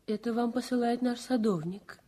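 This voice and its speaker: An actress playing a caring, modest nurse of nearly twenty in a 1960s radio drama, solicitous and slightly bashful. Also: female voice, a little high, normal speed, warm tone, breathy edge.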